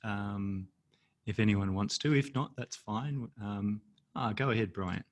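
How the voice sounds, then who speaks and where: A man with an Australian accent hosting an online storytelling event over a video call, relaxed and hesitant with many pauses. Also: fairly low pitch.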